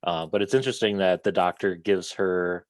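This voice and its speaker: Man, deep voice, audience member on a video call, hesitant, halting, dry audio. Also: steady tone, dry recording. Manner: hesitant, halting, many uhs. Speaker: audience member invited to speak. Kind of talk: online panel discussion.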